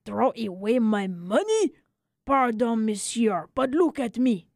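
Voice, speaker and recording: A woman playing an excitable, tender-hearted little French barber telling his tale in a dramatic, emphatic way for a radio story. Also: on the high side, takes it slowly, dry recording, studio quality, heavily acted. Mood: indignant.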